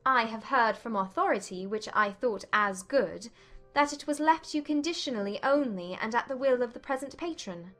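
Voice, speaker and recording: A woman's voice: fairly high pitch, animated, normal speed, a bit bright, faint music behind, pitched above the performer's own voice. A woman voicing a witty, poised young lady in a dramatized audiobook, arch and composed. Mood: arch, teasing. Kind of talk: dramatized audiobook character acting.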